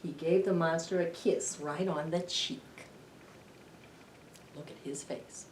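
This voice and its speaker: A woman's voice: sits low, steady tone, slow pace, darkish tone, slight background noise. A middle-aged woman doing a dramatic picture-book read-aloud for young children, with questioning asides to her listeners. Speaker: library storytime host. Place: indoor library storytime room. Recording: roomy, distant camera microphone.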